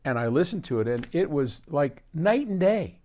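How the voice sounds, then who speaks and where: An older man with an American accent talks casually, as if answering a question from his audience. His pitch swings widely as he explains things in an animated, digressive storytelling way. The delivery is informal and rambling. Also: normal speed. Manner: animated, digressive storyteller who quotes conversations.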